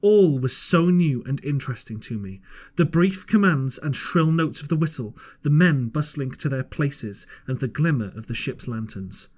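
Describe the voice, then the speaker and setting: A man's voice: medium pitch, expressive, normal speed, a little mellow. A man narrating an old adventure story as an amateur audiobook reader, sometimes stumbling and rereading a phrase.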